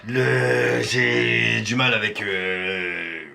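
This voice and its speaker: A man's voice: mid-range voice, very slow, vocal fry, sharp tone. A man from Paris giving live reaction commentary on a rap battle, exclamatory and teasing. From Paris, France.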